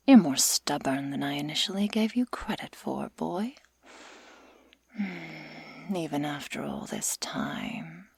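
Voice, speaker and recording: Woman performing a sadistic werewolf girl, breathy and husky, low-pitched, taunting, menacing, ASMR roleplay. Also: dry recording, full character voice. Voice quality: breathy, mostly whispered. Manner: slow, deliberate, mock-sweet taunting. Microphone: close microphone.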